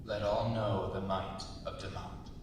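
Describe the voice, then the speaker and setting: A young man playing a menacing, possessive sea god in a staged reading, speaking in formal, measured threats. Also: level tone, close to an everyday voice. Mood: cold menace. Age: young adult. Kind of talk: staged dramatic script reading. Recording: very echoey hall, distant mic, hiss and rumble.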